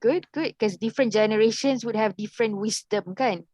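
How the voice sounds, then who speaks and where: A woman's voice: a little high, fairly quick, a little mellow, no room sound. A woman with a Malaysian accent leading an online English class discussion, mixing in Malay words and prompting students with questions. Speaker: English teacher.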